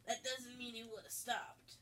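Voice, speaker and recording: A woman's voice: on the high side, little pitch movement, unhurried, sharp tone. A woman voicing a grumpy, put-upon pizzeria worker, a man who grumbles sarcastically, in a story reading. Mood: sullen.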